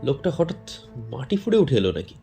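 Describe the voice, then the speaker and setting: A man narrating a horror story in the first person, theatrical and suspenseful, with drawn-out pauses. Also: medium pitch, sing-song, dark tone, soft background music.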